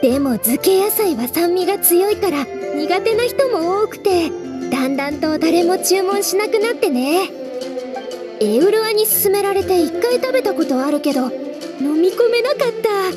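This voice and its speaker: Cheery teen scout girl, super high bouncy voice, anime game with music under it. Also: animated, normal speed. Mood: chatty. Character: cheerful, friendly teenage girl scout knight. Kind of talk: Japanese anime game voice acting.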